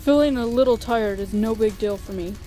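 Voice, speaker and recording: A woman's voice: on the high side, fairly quick, warm tone, soft background music, close to an everyday voice. A woman voicing a tired, easygoing skeleton big brother in a comic dub, casual and reassuring.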